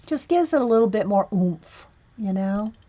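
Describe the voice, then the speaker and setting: A woman hosting a casual painting livestream, chatting with viewers and muttering soft asides while she works at a table. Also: medium pitch, lively intonation, moderate pace, highs cut off. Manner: chatty, reads and answers viewer comments. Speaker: art livestream host. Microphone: overhead camera on hands and page.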